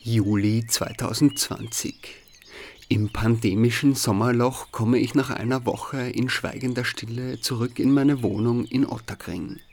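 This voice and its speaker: A man's voice: mid-range voice, steady tone, a little slow, a little mellow. A man reading a scripted first-person radio narration. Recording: clear background noise, field-recording ambience.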